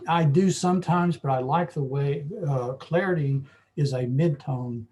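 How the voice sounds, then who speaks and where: A hoarse man teaching a photo-editing lesson, explaining at an easy pace with lots of fillers. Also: fairly high pitch, measured pace, slight background noise. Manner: relaxed, explanatory, with frequent fillers. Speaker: photography club instructor. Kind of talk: screen-share software tutorial.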